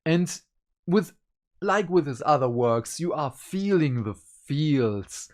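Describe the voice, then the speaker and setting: A host giving a casual review to viewers, speaking in an emphatic, deliberate way. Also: mid-range voice, expressive. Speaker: book reviewer and channel host.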